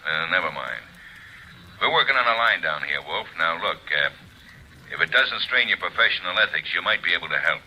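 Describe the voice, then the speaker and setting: A blunt, impatient police inspector in a vintage radio drama. His voice is low, bright and hard-edged, swinging widely in pitch as he pushes his point. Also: animated, brisk, exaggerated voice, low-quality recording. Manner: brusque, blunt. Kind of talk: 1940s radio acting.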